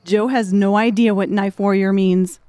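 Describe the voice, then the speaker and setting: A woman's voice: medium pitch, fairly even intonation, moderate pace, dark tone, dry recording. A woman giving scripted audiobook narration as the storyteller of a drama.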